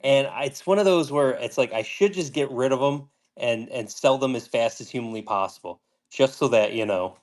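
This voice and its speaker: A man hosting a live pin sale, chatting casually with a calm voice and lots of fillers. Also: medium pitch, normal speed. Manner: rambling, filler-heavy, laid-back. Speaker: pin seller host.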